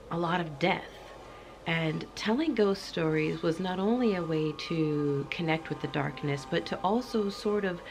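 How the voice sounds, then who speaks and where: Low-voiced woman in a cozy chat. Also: slight background noise. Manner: playful and chatty, dropping into spooky whispers. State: voice slightly off from coming down sick. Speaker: channel host. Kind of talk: cozy casual chat to camera.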